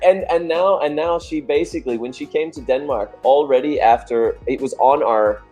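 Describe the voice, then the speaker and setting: A male dancer chatting casually in an interview, telling animated stories and laughing often. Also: a little high, normal speed, soft background music.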